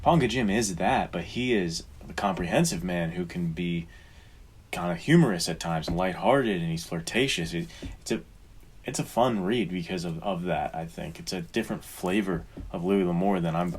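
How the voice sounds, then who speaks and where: Low-voiced man in casual podcast chat. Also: normal speed, slightly rough. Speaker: podcast co-host.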